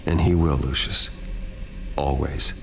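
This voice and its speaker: Calm male billionaire hero for a game: extremely low, creaky voice, understated wry delivery. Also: slow pace, slight background noise, heavily acted. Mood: calm, warm. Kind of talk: video game dialogue, low-key conversation.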